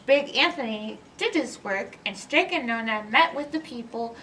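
A middle-aged woman hosting a read-aloud story time for young children, animated and teacherly in manner. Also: fairly high pitch, normal speed. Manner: animated, exaggerated, teacherly, asking questions. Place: indoors in a library, by bookshelves. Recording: roomy distance from mic, background noise.

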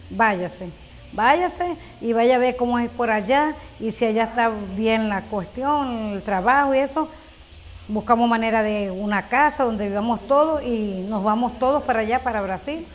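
A woman's voice: fairly high pitch, normal speed, slight background noise. A woman in her fifties from Venezuela, telling her story in a documentary interview, hesitant with many fillers. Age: 50s.

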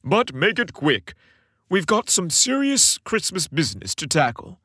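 A man voicing an old Santa Claus in a story, booming and emphatic, with a fatherly weight. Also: sing-song, unhurried, sharp tone, slightly rough, no echo, studio quality, full character voice. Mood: jovial, booming. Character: jovial booming old Santa Claus, grave when worried.

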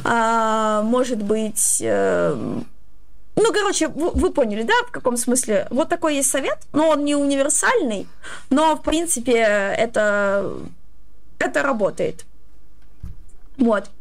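A woman in her twenties giving advice in casual, halting, explanatory talk. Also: on the high side, animated, vocal fry. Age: 20s.

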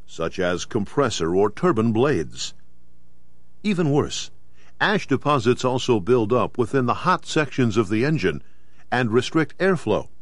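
A man with an American accent gives measured, formal, instructional narration in the style of a scripted training video. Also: mid-range voice. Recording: 1990s videotape with clear background noise.

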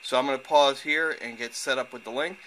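Guy doing a how-to narration for his channel, middle pitched voice, explains stuff step by step. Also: brisk, bright, forceful tone, a little hiss or hum. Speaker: host of amateur radio tutorial channel.

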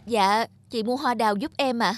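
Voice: medium pitch, moderate pace, very noisy, natural voice. An actor playing an earnest, polite young male street seller in a cartoon.

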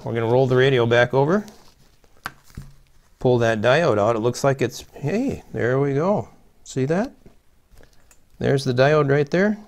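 A middle-aged man with a gravelly voice gives a casual, folksy repair tutorial, talking through each step as he works. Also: mid-range voice, darkish tone. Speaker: host of a CB radio repair channel. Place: small plain indoor room, seated at a table.

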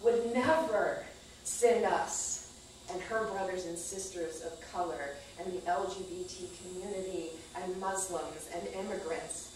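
Echoey, deliberate woman performing a monologue. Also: on the low side. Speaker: performer at a staged reading. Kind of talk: dramatic scripted monologue read aloud. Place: small indoor theater stage. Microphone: far camera microphone. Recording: echoey distant stage recording, faint noise.

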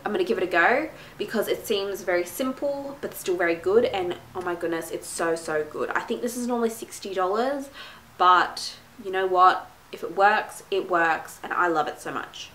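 A woman's voice: lowish voice, moderate pace, fairly bright tone. A young woman in her twenties, congested from a cold, chatting and gushing through a casual beauty product review in a small room at home. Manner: gushing, chatty, lots of "like" and "my goodness". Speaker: beauty vlogger. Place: small room at home, plain wall behind. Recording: echoey room with faint hiss.

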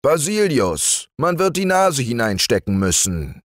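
A man voicing a vain, fussy male bear in a storybook reading, raising his voice high and making it whiny. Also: big pitch swings, measured pace, dry recording, clean studio sound.

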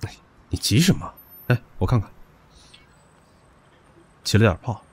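A man's voice: very animated, a little slow, background noise. A brash, crude young man. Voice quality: low, swaggering and crude. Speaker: voice actor. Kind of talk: audio drama acting.

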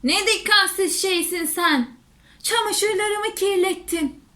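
A cross little hedgehog out of a storybook voiced by a woman, high and sharp. It is fussy and short-tempered, exclaiming in reproach. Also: slow, heavily acted. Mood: exasperated. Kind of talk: children's storybook character voice.

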